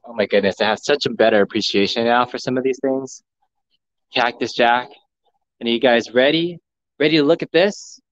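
A man livestreaming a walkthrough of a pinball showroom, excitedly talking to his viewers, recorded on a phone indoors. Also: mid-range voice, no room sound, lo-fi sound. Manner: excited, enthusiastic, addressing viewers. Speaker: vlogger, live streamer. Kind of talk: live walkthrough commentary. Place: large indoor arcade showroom. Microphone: phone camera.

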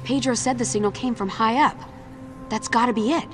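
An actress playing a brave, determined young American survivor in a video game drama, earnest and resolute. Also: female voice, a little high, brisk, over music, lightly acted. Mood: resolute, tense. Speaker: voice actor.

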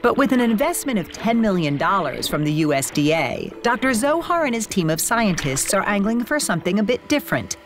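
A woman reading scripted documentary narration in a lively, playful way. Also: medium pitch, a little fast, few pauses. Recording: voice-over with music bed.

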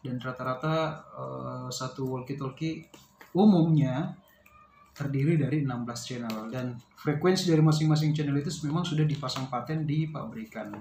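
A man's voice: mid-range voice, a little mellow. Male vlogger, casual unscripted explainer, hesitant delivery, echoey room with slight noise. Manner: hesitant, pausing to search for words. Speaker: vlogger reviewing a product. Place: small bare-walled room indoors. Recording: roomy echo, faint background noise.

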